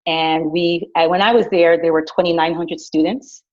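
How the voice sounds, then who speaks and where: A middle-aged woman from Guyana, an educator, telling her leadership story in a lively, chatty way with fillers and restarts. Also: on the low side, normal speed. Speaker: director of innovation at a school. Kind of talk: personal leadership story, casual. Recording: dull lo-fi recording.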